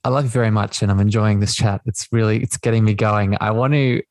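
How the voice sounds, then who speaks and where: A man's voice: fairly low pitch, fairly even intonation, fast, darkish tone, no room sound, studio quality. A man hosting a spiritual podcast, talking in a reflective way and often checking in with "right?". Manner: reflective, tags thoughts with "right?". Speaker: podcast host. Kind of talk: spiritual conversation.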